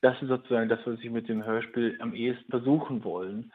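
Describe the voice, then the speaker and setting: A man's voice: medium pitch, fairly even intonation, fast, slightly rough. A man being interviewed as a writer, reflecting on his own work in a tentative, searching way and often correcting himself. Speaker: writer and radio play director being interviewed. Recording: tinny phone-like line.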